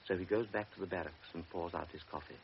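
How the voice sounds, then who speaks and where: A man narrating a radio drama in a measured, even storytelling manner. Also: low-pitched, creaky. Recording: dull old radio broadcast, faint hiss.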